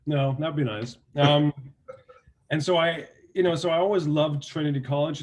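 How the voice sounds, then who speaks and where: Danish guy, mid-pitched, loose and chatty interview guest who restarts his thoughts a lot. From Denmark. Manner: relaxed storytelling with many restarts and fillers. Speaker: actor and guest. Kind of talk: casual interview talk.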